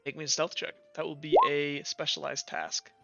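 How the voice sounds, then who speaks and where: A man's voice: on the high side, little pitch movement, normal speed, vocal fry, fairly bright tone, muffled recording, soft background music. A man acting as game master, narrating a tabletop roleplaying game in an informal, improvised way.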